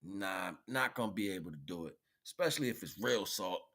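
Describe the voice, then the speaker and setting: A man with a bright, forceful voice talks straight to his listeners in a rambling, conversational monologue. He preaches in informal slang, repeating phrases for emphasis and often checking that people follow. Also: low voice, animated, a little fast, breathy edge. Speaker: spirituality vlogger, livestream host.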